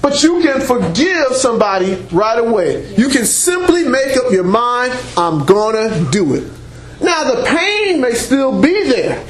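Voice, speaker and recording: A man preaching and teaching the Bible to a congregation without a microphone, speaking loudly and emphatically and breaking in with exclamations of praise. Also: very high-pitched, big pitch swings, a little slow. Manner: loud and emphatic, with call-and-response exclamations like praise and amen. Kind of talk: sermon-style teaching to a congregation. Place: church meeting room before a congregation. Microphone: unamplified voice picked up by a room mic. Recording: echoey room recording.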